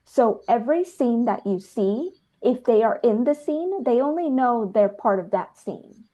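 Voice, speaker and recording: A woman's voice: a little high, lively intonation, a little slow, mellow. A woman, speaking effusively and emphatically as a filmmaker in a casual interview. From New Jersey, United States. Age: 30s. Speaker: filmmaker and dancer being interviewed.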